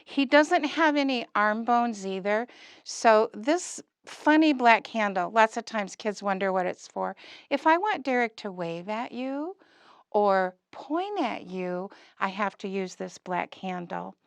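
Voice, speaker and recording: A woman's voice: mid-range voice, animated, unhurried, gravelly, clean tone, dry recording. A woman puppeteer presenting to young children, using simple, emphatic phrases and lots of questions.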